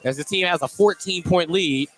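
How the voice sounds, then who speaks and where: A man's voice: high-pitched, moderate pace, a bit bright, soft background music. A man doing live play-by-play basketball commentary on radio, calling each play in clipped, descriptive phrases. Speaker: play-by-play announcer. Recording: noisy live sports broadcast.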